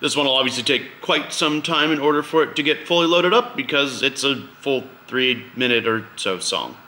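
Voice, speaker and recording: A man's voice: mid-range voice, expressive, normal speed, echoey room, background noise. A man hosting a casual step-by-step tutorial in an informal, conversational way. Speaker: video host giving a drone tutorial.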